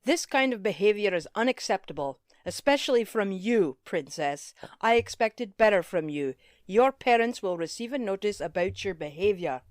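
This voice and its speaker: Woman doing a strict snooty pony teacher, medium pitch, scolding, dry audio, cartoon audio drama. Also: animated, moderate pace, few pauses, dry recording, natural voice. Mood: angry, scolding. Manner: haughty, scolding. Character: stern, easily angered female pony schoolteacher. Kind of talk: animated-fandom audio drama acting.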